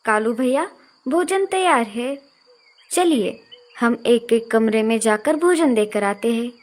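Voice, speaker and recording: A high, sweetly helpful young female cartoon bird, acted by a woman. She speaks in an earnest, reassuring way, like a caring helper in a children's animal tale. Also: lively intonation, slow. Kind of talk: Hindi children's cartoon story voice acting.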